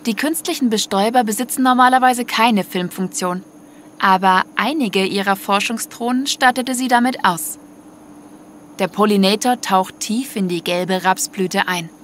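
A woman's voice: mid-range voice, moderate pace, a bit bright, slight background noise. A woman narrating a scripted audio story in prose. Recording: music under the voice.

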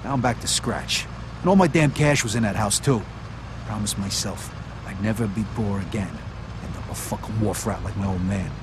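A man's voice: lowish voice, normal speed, noisy background, lightly acted. Actor as a bitter, foul-mouthed male gangster. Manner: profane, sullen. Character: bitter, hard-boiled male gangster who lost his house. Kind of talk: video game voice acting.

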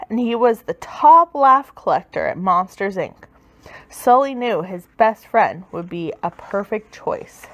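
A woman reading a children's story aloud with expressive, dramatic, emphatic delivery. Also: on the high side. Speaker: storybook reader.

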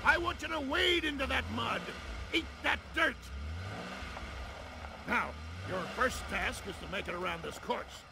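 Gravelly barking army drill sergeant jeep. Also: male voice, very high-pitched, lively intonation, a little slow, noisy background, full character voice. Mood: barking orders. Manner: commanding, shouting military bark. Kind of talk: animated game cutscene acting.

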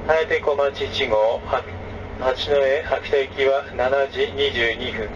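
A male train conductor making formal onboard announcements through a public address speaker, with measured phrasing and frequent filler sounds. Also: mid-range voice, steady tone. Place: moving overnight sleeper train. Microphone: train public address system, recorded from a passenger seat. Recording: distant, dull, noisy 2006 recording of train PA. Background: train running noise.

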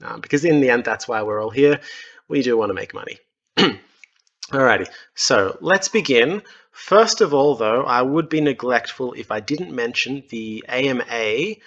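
A man's voice: mid-range voice, normal speed, fairly bright tone. A young Australian man hosting his own channel, talking casually through a screen-share walkthrough, self-deprecating and full of fillers. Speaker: YouTube host. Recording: slightly dull lo-fi sound.

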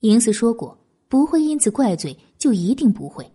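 A woman's voice: a little high, big pitch swings, normal speed, warm tone, husky. A woman reading a historical novel as scripted, dramatic audiobook narration.

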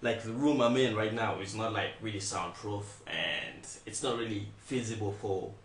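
A man's voice: on the low side, moderate pace, a bit bright, a little hiss or hum. Young man teaching in an echoey room. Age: young adult. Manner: informal, repeats instructions. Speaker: tutorial presenter. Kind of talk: casual step-by-step software tutorial. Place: small indoor room, not soundproof. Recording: echoey untreated room, distant mic.

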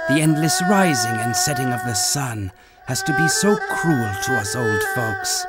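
Scheming old man, measured, condescending, very sing-song delivery, slow, loud music behind. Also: medium pitch, wildly swooping pitch. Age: older. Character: scheming old man returning to settle things. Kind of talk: game cutscene voice acting.

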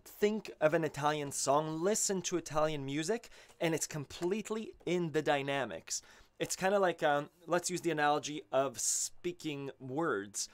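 A man's voice: on the high side, normal speed. A man in his thirties, an accordion teacher, chatting casually and animatedly on a live stream and often correcting himself. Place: small home studio, indoors. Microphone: studio mic on a stand. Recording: accordion playing beneath the voice. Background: his own accordion playing.

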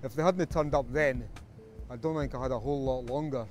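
Halting man, outdoors. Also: mid-range voice, fairly even intonation, a little mellow, a little hiss or hum. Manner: halting, with restarts and hesitations. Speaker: rescued man. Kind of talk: recalling an ordeal in an interview.

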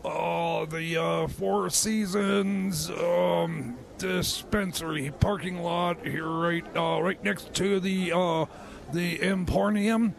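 Bumbling press conference emcee, man acting, high and gravelly, hesitant, muttering, radio comedy. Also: steady tone, slow pace, full character voice. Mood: flustered. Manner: hesitant, fumbling, repetitive. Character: flustered, muttering male sidekick hosting press conference. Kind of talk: improvised comedy radio skit.

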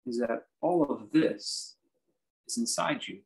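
A man teaching a guided meditation, speaking in measured, halting phrases with reflective pauses. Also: medium pitch, animated, a little mellow. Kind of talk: guided meditation, unscripted instruction.

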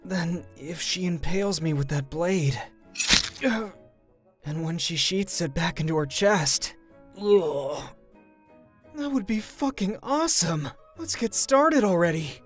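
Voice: medium pitch, expressive, takes it slowly, soft background music. An actor playing a weary young older-brother hero with a dry, resigned manner in an anime comic dub.

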